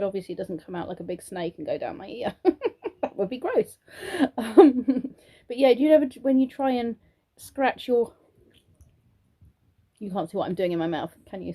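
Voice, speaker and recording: A woman's voice: medium pitch, warm tone, breathy edge. Congested British woman, chatty vlog. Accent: British English. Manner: chatty and rambling, many ums, sing-song praise when talking to children. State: congested, throaty from a sore throat. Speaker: vlogger and childminder. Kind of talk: casual vlog chat to camera. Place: at home, indoors.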